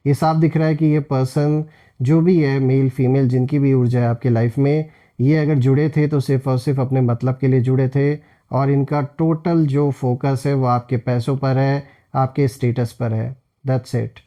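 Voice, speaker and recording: Man, mellow relaxed tone, mid pitch, tarot reader, explanatory, speaking directly to viewers. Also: normal speed. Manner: explanatory, addresses listeners directly as friends. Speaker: tarot card reader and host. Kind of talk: tarot reading addressed to viewers.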